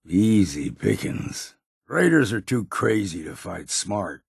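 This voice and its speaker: An actor playing a grizzled, world-weary old hunter in a video game, with an American accent and a gruff, gravelly, folksy delivery. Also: male voice, lowish voice, big pitch swings, takes it slowly, no echo, studio quality, heavily acted. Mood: matter-of-fact. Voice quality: gravelly, husky. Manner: folksy, plain-spoken, blunt. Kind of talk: video game character voice lines.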